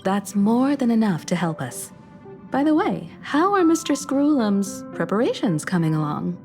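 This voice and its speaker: A woman's voice: mid-range voice, very animated, normal speed, a little mellow, soft background music. A woman voicing an earnest, hopeful young heroine in a game, courteous and eager. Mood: polite. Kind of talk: scripted game character dialogue.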